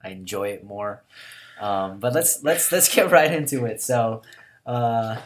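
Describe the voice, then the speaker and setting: Deep-voiced hoarse man hosting. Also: a little slow. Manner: upbeat, enthusiastic, encouraging. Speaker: podcast host. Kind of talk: casual chat.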